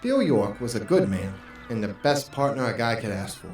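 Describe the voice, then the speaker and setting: A man's voice: mid-range voice, big pitch swings, moderate pace, a little mellow, natural voice. A man playing a hard-boiled, world-weary 1940s private investigator in a noir radio drama, with a wry, brooding manner. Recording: roomy, distant microphone, music underneath.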